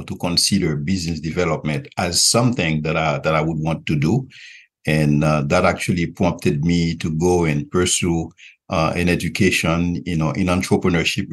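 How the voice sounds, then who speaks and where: A man in his forties from Haiti, with a Haitian accent, answering interview questions in measured short phrases with frequent pauses. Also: low voice, slightly rough. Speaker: podcast guest, regional director and visiting professor.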